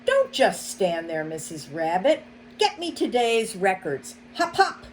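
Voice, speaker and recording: A woman's voice: medium pitch, very animated, a little slow, slight background noise. A woman voicing a sly, greedy male fox shopkeeper who sneers and barks orders in a storybook reading. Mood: bossy.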